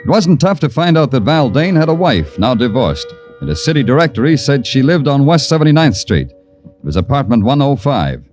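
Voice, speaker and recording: An actor playing a wry, world-weary American police detective in an old radio crime drama, deadpan and sardonic. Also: male voice, medium pitch, brisk, faint music behind, close to an everyday voice. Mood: brooding narration. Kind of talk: 1940s-50s radio crime drama acting and narration.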